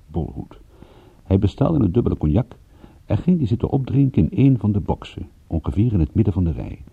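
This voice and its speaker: A man's voice: on the low side, creaky, husky, no echo, heavily acted. An actor playing a wry, observant male private detective who narrates and converses in a dry, sardonic radio-drama style. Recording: dull, muffled old radio-play recording.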